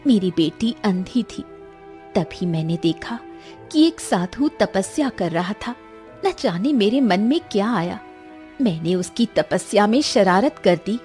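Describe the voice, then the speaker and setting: A woman plays a mystical forest queen and devoted mother in a children's storybook tale. She speaks slowly, earnest and gentle, with music playing behind her. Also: medium pitch, lively intonation, close to an everyday voice. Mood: solemn.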